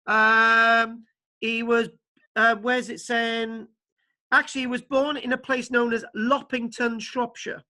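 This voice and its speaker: A man's voice: very high voice, level tone, takes it slowly, bright, forceful tone. A man lecturing over a video call, stammering and repeating words, with many fillers as he leads a discussion. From Wales.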